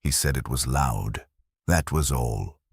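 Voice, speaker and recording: A man playing a weary military logistics clerk who recounts his war service in a measured, reflective first-person narration. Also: a bass voice, gravelly, slightly rough, dry recording, studio quality, exaggerated voice. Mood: somber, reflective.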